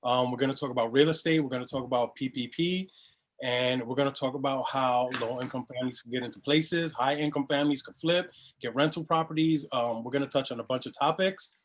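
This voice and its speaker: A man's voice: medium pitch, fairly quick, a little hiss or hum. A man hosting an online session on a video call, welcoming viewers and introducing guests casually with many fillers. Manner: relaxed, upbeat, many fillers. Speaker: host.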